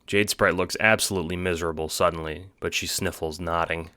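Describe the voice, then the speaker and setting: A man's voice: sits low, fairly even intonation, fairly quick, bright, forceful tone, clear, resonant tone, dry recording, clean studio sound. A man reading scripted second-person narration as the narrator of an audio drama.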